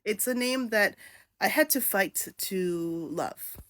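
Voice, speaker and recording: A woman's voice: medium pitch, animated, slow, a bit bright, dry recording. Chatty woman vlogging to camera. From born in Japan, raised in New York City. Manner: chatty, candid, with fillers and self-asides. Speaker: content creator. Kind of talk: casual talking-to-camera vlog.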